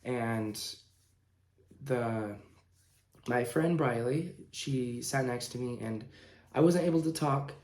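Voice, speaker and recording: A young man in his late teens tells a personal story in a casual, informal style. His delivery is halting, with pauses in the middle of sentences. His medium-pitched voice sits in a roomy, echoing indoor space. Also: a little mellow. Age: young adult, late teens. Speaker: vlogger telling own story.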